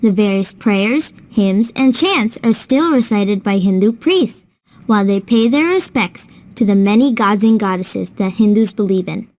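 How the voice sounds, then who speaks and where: A woman's voice: mid-range voice, measured pace, darkish tone, lightly acted. A woman playing a patient, kindly older sister who explains things to a child in a scripted children's educational cartoon.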